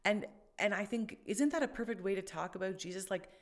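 A woman's voice: medium pitch, brisk. A woman co-hosting a faith podcast, talking casually and thoughtfully, with many fillers and restarts. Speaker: podcast co-host.

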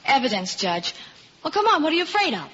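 High-voiced female ingenue, earnest and girlish, golden-age radio drama, noisy sound. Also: sing-song, fast. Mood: cheeky. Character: anxious but cheeky, earnest young woman. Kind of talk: 1950s radio drama acting. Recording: noisy, muffled old radio recording.

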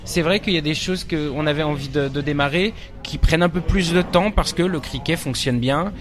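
A man's voice: fairly high pitch, fairly quick, music in the background. A young man from France, an actor and stage director, answering interview questions with many fillers and restarts.

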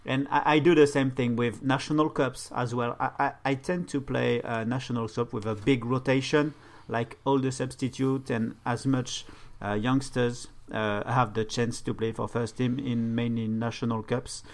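Frenchman chatting casually, halting delivery. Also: mid-range voice, fairly even intonation. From France. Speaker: podcast guest, gaming streamer. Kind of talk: casual podcast chat.

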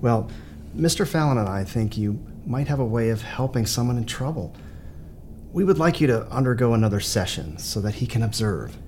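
An actor playing a composed male psychiatrist in an audio drama, speaking in a measured, reassuring and gentle way. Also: medium pitch, expressive, a little hiss or hum, natural voice.

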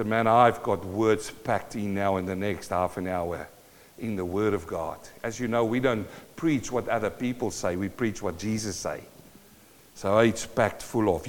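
A man's voice: lowish voice, measured pace. A South African-born man preaching a sermon, emphatic and full of rhetorical questions to the congregation. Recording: long hall reverb.

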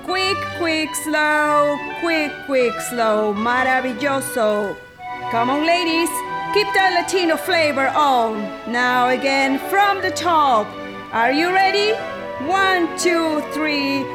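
A woman's voice: high-pitched, expressive, slow, music in the background, rough recording. A woman playing an exuberant dance teacher in a radio drama, calling out encouraging, commanding instructions.

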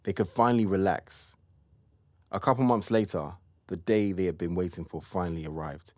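A man narrating an audio play in a measured, scripted storytelling style. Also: sits low, darkish tone, dry recording, clean studio sound.

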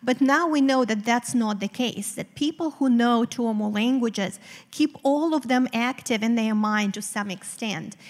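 Eastern european woman, romanian native, explains stuff clearly on stage, highish voice, long theater reverb. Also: moderate pace. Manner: articulate, explanatory, occasional fillers. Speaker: guest researcher. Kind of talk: live stage interview. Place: theater stage before a live audience.

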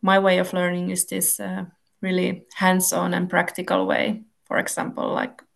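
Finnish-accented woman hosting, gravelly edge. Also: fairly low pitch, completely flat delivery, normal speed. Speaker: podcast host and Finnish language lecturer. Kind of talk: podcast hosting, partly read intro.

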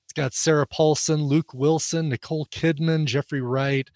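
A man's voice: on the high side, steady tone, moderate pace, a little mellow, no room sound, studio quality. A man co-hosting a chatty show and recommending a film, rambling, with lots of fillers and laughs. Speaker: podcast co-host and film recommender. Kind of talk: casual chat.

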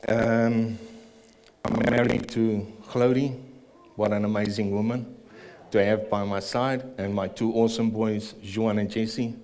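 Nervous South African man, low voice. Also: little pitch movement, darkish tone. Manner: nervous, earnest, with halting fillers. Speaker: church member giving testimony. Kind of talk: personal testimony and prayer in church. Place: church service.

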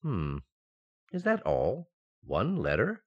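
Guy as a fed-up sensible radio sitcom husband, pitch all over the place, bit muffled. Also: huge pitch swings, slow pace, husky, exaggerated voice. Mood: suspicious. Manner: patient, mock-stern, explanatory delivery. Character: exasperated, sensible banker husband in a domestic sitcom. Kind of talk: old-time radio sitcom acting.

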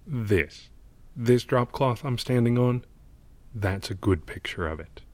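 A man's voice: mid-range voice, lively intonation, slow pace, no room sound. A man playing a gloomy, cynical old mural painter in an audio drama, speaking in a sardonic, world-weary manner. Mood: bitter, gloomy. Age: older.

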